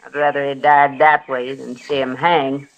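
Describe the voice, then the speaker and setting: A woman's voice: a bass voice, flat delivery, normal speed, muffled recording. An actress playing a fierce, stubborn middle-aged frontier mother in a radio western, terse and defiant, with a rural American Western accent. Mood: hard, defiant. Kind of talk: 1950s radio western acting. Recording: vintage radio drama recording.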